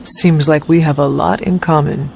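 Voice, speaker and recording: A woman playing an earnest, resolute young warrior in a video game, speaking in a measured way. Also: low-pitched, steady tone, vocal fry, darkish tone, slight background noise. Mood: curious, sympathetic. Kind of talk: video game cutscene acting.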